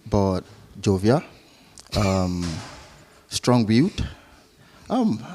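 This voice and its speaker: A man's voice: lowish voice, a little mellow. A man with a Nigerian accent answering interview questions as a guest at a live business event, jovial and chuckling as he talks. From Lagos, Nigeria.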